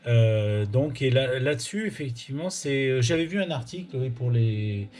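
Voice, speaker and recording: A man's voice: medium pitch, lively intonation, moderate pace, a little mellow. A man doing a casual technical interview, explaining and recapping as he goes. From France. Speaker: interviewer, amateur radio tutorial host. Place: indoors at a radio amateur's home.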